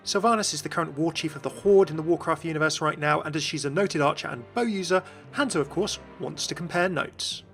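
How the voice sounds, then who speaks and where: A man's voice: on the high side, a little fast, few pauses, a bit bright, soft background music. A man hosting a gaming video with scripted, explanatory narration. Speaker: video host.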